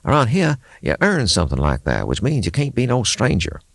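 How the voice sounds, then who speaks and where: Burly older-style garage mechanic played by male reader, stubborn, folksy, gravelly, for an audiobook. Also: medium pitch, wildly swooping pitch, fairly quick, no echo, studio quality, pitched above the performer's own voice, exaggerated voice. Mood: brooding, resolute. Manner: folksy rural speech, muttering to himself. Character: burly gray-bearded small-town mechanic, gruff, stubborn. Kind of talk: audiobook character acting.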